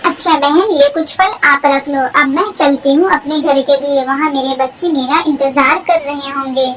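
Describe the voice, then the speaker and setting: Woman as a high-voiced, caring cartoon mother bird. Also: fairly even intonation, moderate pace, fairly bright tone, slight background noise. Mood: kindly.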